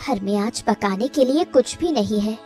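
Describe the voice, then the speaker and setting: A woman voicing a gentle, tired, self-sacrificing elder co-wife in a Hindi cartoon story. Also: on the high side, lively intonation, normal speed, faint music behind, natural voice. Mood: weary.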